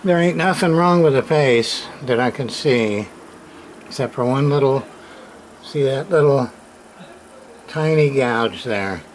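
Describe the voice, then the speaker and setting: A man giving a casual hands-on repair demonstration, muttering to himself in halting short phrases with plain, folksy, mildly salty wording. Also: medium pitch, lively intonation. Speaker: radio repairer, channel host. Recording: clearly noisy room recording, background ambience.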